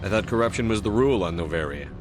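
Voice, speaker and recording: A man playing a calm, matter-of-fact military commander in a video game, direct and dry. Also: on the low side, very fast, close to an everyday voice. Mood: dry, probing. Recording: voice under loud background music.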